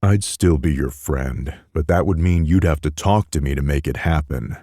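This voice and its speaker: A man's voice: extremely low pitch, normal speed, mellow, no echo, clean studio sound. A man voicing a blunt, self-deprecating young roommate. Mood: dry.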